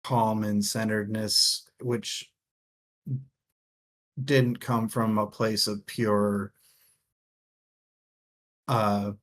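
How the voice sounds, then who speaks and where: A man co-hosting a casual book discussion, thinking aloud in halting phrases and swearing offhandedly. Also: lowish voice, level tone, low-quality recording. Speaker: co-host of a book discussion show.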